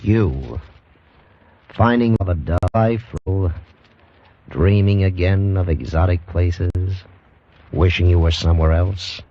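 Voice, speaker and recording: A man giving a portentous, drawn-out introduction as an announcer in 1950s radio drama style. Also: deep voice. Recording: dull old radio recording.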